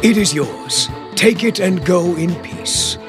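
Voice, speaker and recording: Grand, higher-pitched cartoon chief. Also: male voice, expressive, slow. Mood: gracious. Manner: grand, formal. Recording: game cutscene with music underneath.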